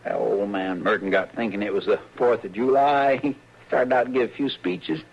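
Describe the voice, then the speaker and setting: A man's voice: lowish voice, moderate pace, breathy edge. A man playing a good-natured, bumbling marshal's helper in an old radio western, hesitant and deferential, with a rural Western American accent. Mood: sheepish storytelling. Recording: muffled, hissy old radio recording.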